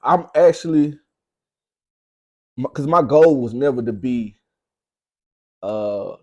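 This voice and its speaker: A man's voice: medium pitch, lively intonation, slow, a little mellow, no echo, dull audio. A young man from Arkansas with a Southern US accent, talking casually as an interview guest in slang, repeating phrases for emphasis. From Arkansas, United States. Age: young adult. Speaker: guest, rapper.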